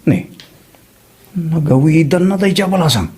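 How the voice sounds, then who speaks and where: A man's voice: a little high, animated, measured pace, darkish tone, husky. A man playing a mischievous, teasing village buddy in an Ilocano comedy drama, with an Ilocano Filipino accent.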